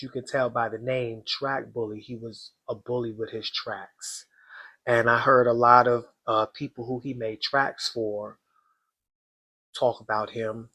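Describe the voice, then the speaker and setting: A man with a hoarse, worn voice speaks slowly and deliberately at a middling pitch. He hosts an astrology reading in a casual way and addresses his viewers directly. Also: fairly bright tone. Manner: slow, deliberate, talks straight to viewers. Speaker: astrology channel host.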